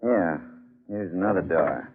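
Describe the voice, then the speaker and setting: A man playing a cautious, dryly witty professor in an old-time radio drama, measured and authoritative. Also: deep voice, animated, background noise. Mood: genial, wry. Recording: narrow, tinny old radio recording.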